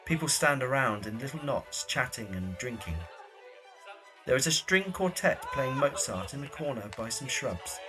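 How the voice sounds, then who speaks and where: A man narrates a period drama in a mid-pitched voice. He reads scripted scene descriptions, with faint music underneath. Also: lively intonation, normal speed.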